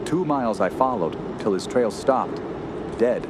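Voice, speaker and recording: An actor playing an earnest, shaken young woodsman who tells his story in a radio horror drama, halting and solemn. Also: medium pitch, close to an everyday voice. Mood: haunted, solemn. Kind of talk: radio horror narration and acting. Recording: loud steady outdoor background noise.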